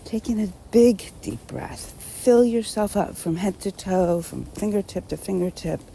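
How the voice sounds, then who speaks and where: Female meditation guide: deeply mellow, relaxed tone, gentle and unhurried, faint background noise. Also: creaky, low-quality recording. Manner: gentle, unhurried, with long pauses between short phrases. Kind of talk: guided meditation, unscripted spoken instruction.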